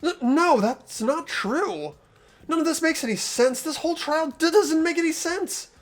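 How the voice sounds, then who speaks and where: A woman's voice: sits high, expressive, normal speed, faint music behind. A woman playing an earnest, flustered teenage student in a game, stammering and pleading urgently. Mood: desperate. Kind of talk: hobby game voice acting.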